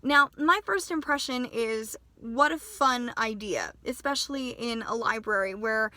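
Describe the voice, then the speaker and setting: Bright-voiced woman in her twenties. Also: fairly high pitch, unhurried, no room sound. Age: 20s. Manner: chatty and self-deprecating, laughs at herself. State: recovering from a sinus infection, voice not back to normal. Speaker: cosplay vlogger. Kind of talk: casual vlog chat.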